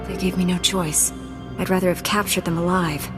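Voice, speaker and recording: An actress playing a calm, firm young Jedi knight in a video game, measured and resolute. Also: female voice, on the low side, music in the background, close to an everyday voice. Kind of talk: video game cutscene acting.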